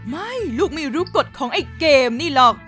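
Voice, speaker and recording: A hot-tempered cartoon rock mom, voiced by a woman, who swears freely and snaps at her family. Her voice sits very high, bright, and lively, with faint music underneath. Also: brisk. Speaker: mother hosting a poker game. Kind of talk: Thai fan dub of a cartoon.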